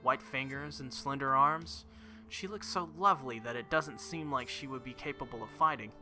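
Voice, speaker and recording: A man's voice: medium pitch, moderate pace, over music. A man reading a visual novel aloud as a gaming creator, expressively, with casual joking asides.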